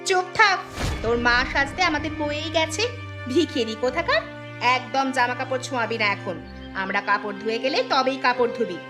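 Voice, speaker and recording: A woman voicing village women in a cartoon folk tale, with exaggerated, expressive delivery. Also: high voice, a little fast, over music. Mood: scornful, scolding.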